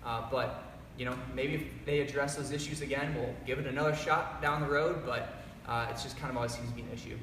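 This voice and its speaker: An upbeat young man hosts a live announcement in a large showroom garage. His voice rings off the hard walls and sits far from the camera, with steady noise underneath. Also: mid-range voice, quick pace, hardly any pauses. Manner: upbeat, presenter-like, reads out viewer comments. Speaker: company host presenting a live announcement. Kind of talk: live-streamed company announcement. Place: large echoey garage showroom with cars, indoors. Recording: echoey room from far off, background noise.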